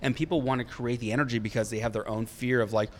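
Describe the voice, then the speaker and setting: A man's voice: mid-range voice, steady tone, fast talker, slight background noise. A man in his thirties, a psychic medium, recounting his readings in a rambling, informal, conversational way. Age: 30s. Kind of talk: casual chat, storytelling.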